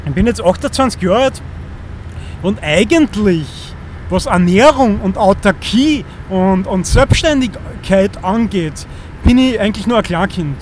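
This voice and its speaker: A man's voice: high voice, lively intonation, a little slow, a little hiss or hum. A man in his thirties with an Austrian accent, talking in an earnest, rambling monologue and often restarting as he searches for words. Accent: Austrian German. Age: 30s.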